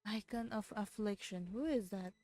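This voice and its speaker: A woman's voice: medium pitch, normal speed, warm tone, no room sound. A woman with a Filipino accent, streaming a game and reading its text aloud. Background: game music.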